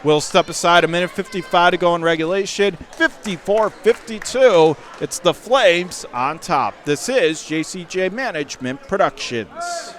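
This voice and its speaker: An American man giving live, excitable radio play-by-play of a school basketball game in clipped phrases. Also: sits high, moderate pace. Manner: excitable, clipped running call of the action. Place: courtside at a school gymnasium. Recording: gym crowd noise, faint background hiss. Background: crowd and bouncing basketball.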